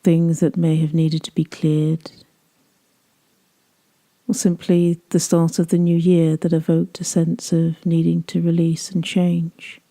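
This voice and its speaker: Woman, meditation guide, very warm and relaxed tone, fairly flat intonation, gentle soothing delivery, soft word endings. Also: lowish voice, slow.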